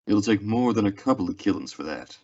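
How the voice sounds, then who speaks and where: A man's voice: on the low side, very animated, brisk, mellow. A young man acting a weary, plainspoken Old West marshal in a radio Western drama. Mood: grim narration. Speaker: actor in a school radio theatre production. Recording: dull lo-fi radio-play recording.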